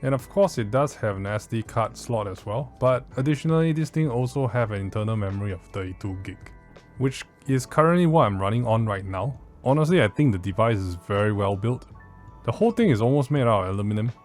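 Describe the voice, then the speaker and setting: A man's voice: lowish voice, animated, fairly quick, soft background music. A man hosting a tech review in a casual, conversational way, with emphatic stresses and self-correcting asides. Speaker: tech review video host.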